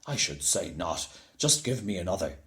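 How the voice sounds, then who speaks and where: A man's voice: deep voice, sing-song, moderate pace, fairly bright tone, voice pushed down, full character voice. A man voicing a huge, gluttonous bully of a giant in a storybook, bellowing and blustering. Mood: outraged. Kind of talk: storybook character voice.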